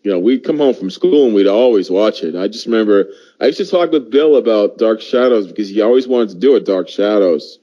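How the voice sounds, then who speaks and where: A man's voice: on the low side, animated, a little fast, few pauses, dark tone, highs cut off. A middle-aged man with an American accent, reminiscing fondly in casual conversation as a podcast co-host. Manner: fond reminiscing. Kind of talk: casual chat.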